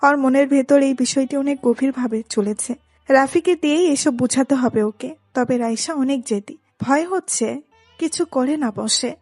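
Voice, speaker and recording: Casting: woman to play protective big sister in a romance audio drama, high voice, stern and exasperated, close dry sound. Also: moderate pace, darkish tone, no room sound, faint music behind. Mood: concerned. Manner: stern, admonishing. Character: protective, exasperated older sister scolding her younger sister.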